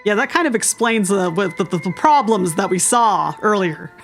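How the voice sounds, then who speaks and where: A woman's voice: medium pitch, animated, moderate pace. A woman streamer giving casual, wry, amused commentary as she plays a game. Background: game music.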